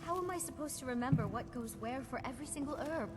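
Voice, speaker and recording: A woman's voice: high-pitched, quick pace, over music, natural voice. An actress playing an overwhelmed young female alchemy apprentice in a video game.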